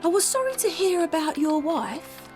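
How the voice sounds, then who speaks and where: A woman playing a fawning, genteel eighteenth-century matron, coy and flattering, in an audio drama. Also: very high-pitched, normal speed, music in the background. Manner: gushing, genteel. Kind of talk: period audio drama acting.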